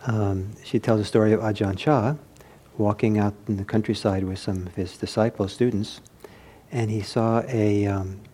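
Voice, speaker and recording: A man giving an informal meditation talk, reflective and hesitant as he searches for words, with a soft, husky voice. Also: lowish voice, steady tone, normal speed. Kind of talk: informal spoken teaching with anecdotes.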